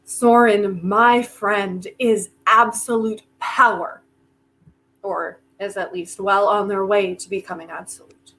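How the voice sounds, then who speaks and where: Woman playing a slow-talking, arrogant genius inventor. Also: mid-range voice, steady tone, fairly bright tone, low-quality recording. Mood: taunting, grandiose. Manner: sardonic, deliberate, theatrical. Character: arrogant, brilliant, defiant inventor bargaining with Death. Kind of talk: staged reading of a play, dramatic monologue.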